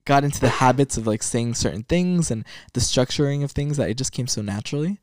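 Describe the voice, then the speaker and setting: Young man, average pitch, casual podcast chat, easygoing, close and dry. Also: fast, a little mellow, no echo. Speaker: podcast co-host.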